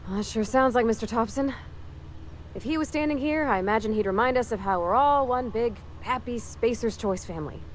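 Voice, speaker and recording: A woman's voice: a little high, a little fast, a little hiss or hum, lightly acted. A woman voicing an earnest, kind-hearted young colony mechanic in a video game, hesitant and apologetic, with folksy phrasing. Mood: reluctant, thoughtful. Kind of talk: naturalistic video game dialogue acting.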